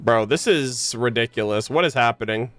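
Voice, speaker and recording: A man's voice: medium pitch, moderate pace, a bit bright. A young man streaming a game with casual, slangy commentary, joking and incredulous. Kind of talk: casual live gameplay commentary.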